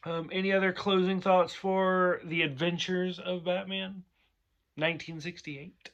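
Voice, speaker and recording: A man hosts a relaxed review chat, rambling from point to point with plenty of "like" and "um." His voice is bright and sits a little high. He sounds slightly tipsy. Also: measured pace, muffled recording. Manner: rambling, chatty, full of "like" and "um". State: a bit tipsy. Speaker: show host.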